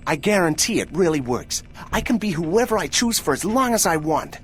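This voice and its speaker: Animated, cheeky robot reporter. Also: male voice, fairly high pitch, moderate pace, breathy edge. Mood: cocky, glib. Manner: glib, bouncy, inquisitive. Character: cheeky, eager young robot reporter, male. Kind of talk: scripted game dialogue.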